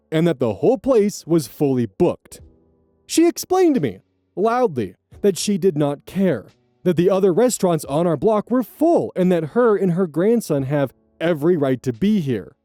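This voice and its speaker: A man narrates online stories as host, his pitch sitting a bit high and swinging widely. His tone is mellow and dark rather than bright, and the sound is dry and close. He breaks off into blunt, profane commentary. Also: moderate pace, dry recording, soft background music. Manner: animated, blunt and profane in asides. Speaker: host reading Reddit stories. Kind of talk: story reading with casual commentary.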